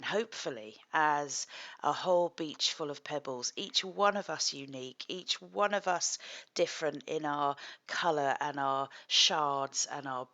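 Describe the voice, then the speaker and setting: Husky low-voiced woman, middle-aged, gentle and thoughtful, a bit of gravel in it. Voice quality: slightly husky. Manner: gentle, thoughtful, with soft laughs and pauses. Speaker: psychotherapist and art project host. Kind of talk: calm reflective vlog talk.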